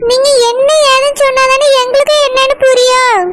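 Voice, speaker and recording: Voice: extremely high pitch, little pitch movement, brisk, fairly bright tone. A performer voicing a worried middle-aged wife and mother who pleads anxiously with her husband. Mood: pleading.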